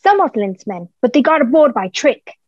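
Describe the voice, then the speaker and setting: An earnest young cabin boy, voiced by a woman with a high pitch. He speaks politely, in radio play style, on a somewhat dull recording. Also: sing-song, normal speed, faint music behind. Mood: earnest, wary. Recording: dull, muffled home recording.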